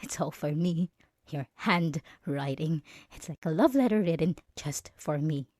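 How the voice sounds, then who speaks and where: Woman voicing a possessive young bully girl, jealous, menacing, taunting, animated pitch, intimate ASMR roleplay monologue, dry close sound. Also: a little slow, no room sound. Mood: smug, intimate. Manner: menacing, taunting, swinging between soft and agitated. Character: possessive, jealous young female bully blackmailing her crush.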